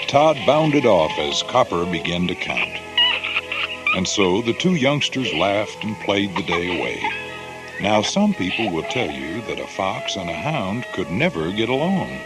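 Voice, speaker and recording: A man's voice: low voice, very animated, measured pace, rough recording. A man narrating a scripted children's storybook as a read-along. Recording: loud music behind the voice.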